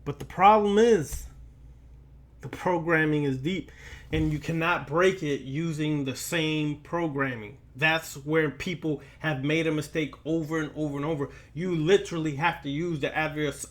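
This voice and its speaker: A man's voice: on the high side, fairly even intonation, normal speed. A man giving a spiritual lecture straight to his listeners, emphatic and repeating phrases for weight. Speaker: occult lecturer.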